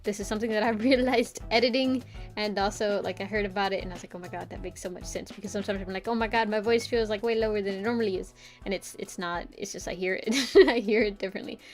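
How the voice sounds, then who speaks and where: A woman's voice: medium pitch, fairly even intonation, fast, soft background music. A young woman chatting casually to her stream while drawing, rambling with lots of filler words and frequent giggles. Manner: giggly, playful voice play.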